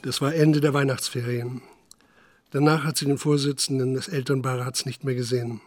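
A man narrating a radio play in a measured, matter-of-fact literary style. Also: mid-range voice, little pitch movement, dry recording, natural voice. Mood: measured, sober. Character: sober male narrator recounting a village scandal.

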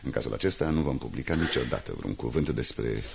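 An actor playing a falsely apologetic blackmailer in a radio drama, formal and deliberate, with feigned politeness. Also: male voice, a bass voice, lively intonation, creaky, noisy background, exaggerated voice. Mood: smoothly persuasive. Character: smooth-talking, falsely apologetic male blackmailer.

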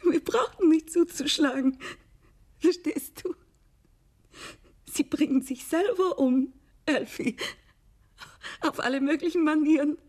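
An actress playing a weary, disillusioned conspirator in an intimate radio drama, speaking in brooding, halting phrases. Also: female voice, high voice, darkish tone. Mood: agitated, bitter. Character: weary, disillusioned female conspirator recounting her past.

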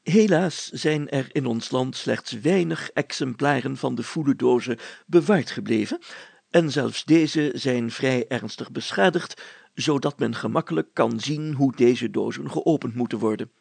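Man voicing a fussy, gravelly, pompous mayor. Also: medium pitch, unhurried, darkish tone, dry recording. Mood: flustered, scholarly. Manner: stammering, hesitant, pompous, over-articulated. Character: fussy, flustered, pompous older male mayor reading aloud. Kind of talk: children's audio story character voice.

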